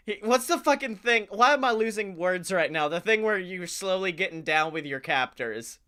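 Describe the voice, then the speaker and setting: A man co-hosting a comedy podcast, chatting casually and brainstorming in an excitable, sweary way. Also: high-pitched, normal speed, clean tone. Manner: excitable, profane.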